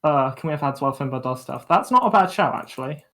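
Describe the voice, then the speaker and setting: A man's voice: medium pitch, animated, fast, fairly bright tone. Young man, casual co-host chat. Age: young adult. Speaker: student radio co-host.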